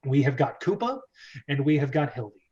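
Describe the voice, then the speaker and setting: A man's voice: mid-range voice, big pitch swings, brisk, a little mellow, lo-fi sound. A man hosting and narrating a script read-through, chatty and jokey with a theatrical delivery.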